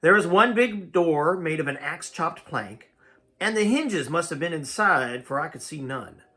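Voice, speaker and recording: Animated man reading a story aloud. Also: on the high side, normal speed. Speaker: amateur audiobook reader. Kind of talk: animated storytelling read-aloud in first person.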